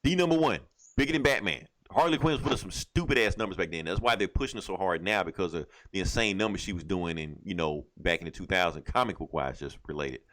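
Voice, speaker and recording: A man with a fairly low voice talks as a podcast co-host. He gives a loose, rambling recap in casual slang, irreverent and freely profane. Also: fast talker, fairly bright tone. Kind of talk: casual recap of a comic book, profane banter.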